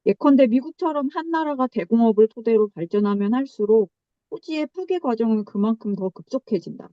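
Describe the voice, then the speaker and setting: Higher-voiced woman reading aloud on dull audio. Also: lively intonation, fairly quick, dry recording. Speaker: seminar presenter.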